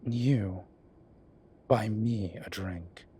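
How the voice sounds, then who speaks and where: Weary incubus professor played by a man, lowish voice, wry and measured, intimate audio drama, ambient noise behind. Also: mellow. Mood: wry, teasing. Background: ambient sound effects.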